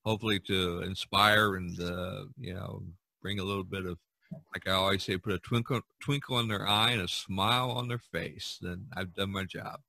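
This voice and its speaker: An older man hosting a podcast, chatting casually in a halting, folksy way. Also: sits low, steady tone, creaky, pushed and bright, dry recording. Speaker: podcast host. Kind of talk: casual chat and podcast sign-off.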